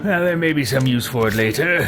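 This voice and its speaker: A man's voice: medium pitch, animated, a little fast, lightly acted. A man voicing a haughty, dry wizard adventurer in a video game roleplay. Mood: musing. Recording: voice over loud scary game music.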